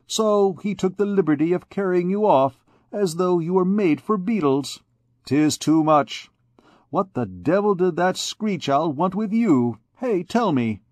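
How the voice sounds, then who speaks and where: A man's voice: high-pitched, animated, unhurried, darkish tone, no echo, studio quality, voice pushed up. A man voicing a swaggering young army captain who talks in a boastful, blustering way.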